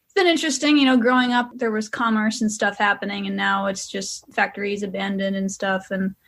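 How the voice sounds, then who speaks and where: A woman's voice: medium pitch, a little fast. A young woman from Western Massachusetts, a musician guest chatting candidly and casually in an interview. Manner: relaxed, chatty, filler-heavy, candid.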